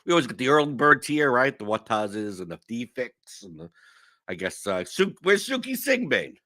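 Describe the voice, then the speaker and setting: A young man, hoarse with a sore throat, hosting a casual fantasy sports livestream, rambling and cutting himself off. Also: mid-range voice, moderate pace. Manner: animated, rambling, self-interrupting. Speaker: host of a fantasy sports stream.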